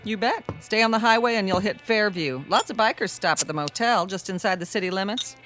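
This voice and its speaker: An actress playing a friendly, teasing roadside diner waitress in a radio drama, with folksy, breezy, flirty small talk. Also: female voice, medium pitch, fairly quick, fairly bright tone, faint music behind, close to an everyday voice. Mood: chatty, curious.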